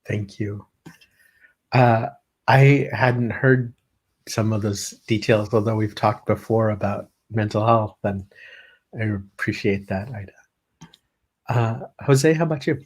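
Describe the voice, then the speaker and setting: A man hosting a live-streamed panel discussion, speaking haltingly with many pauses. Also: fairly low pitch, husky, poor audio. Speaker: host, panel moderator.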